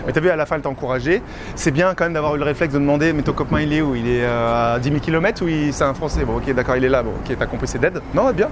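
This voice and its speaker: A man talks very quickly in a fairly high voice. He sounds like a coach giving an informal, explanatory debrief, out on a street with clear traffic noise. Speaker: dating coach. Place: outdoors on a city street.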